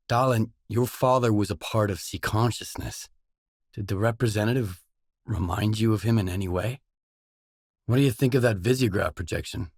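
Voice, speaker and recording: A man's voice: lowish voice, no echo. An actor playing a guarded, determined male stalker mercenary in a video game, speaking tersely and matter-of-factly with dry delivery. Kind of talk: video game character dialogue lines.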